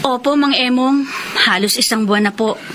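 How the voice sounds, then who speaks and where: A woman's voice: on the high side, normal speed, close to an everyday voice. A woman playing a polite, dutiful young daughter. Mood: earnest. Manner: respectful, soft-spoken. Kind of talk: radio drama acting. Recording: noisy background ambience.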